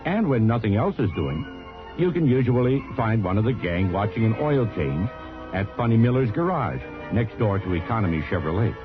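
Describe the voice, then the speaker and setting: A man's voice: on the low side, sing-song, moderate pace. A man reading folksy scripted narration as a radio announcer. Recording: tinny old radio, loud music behind.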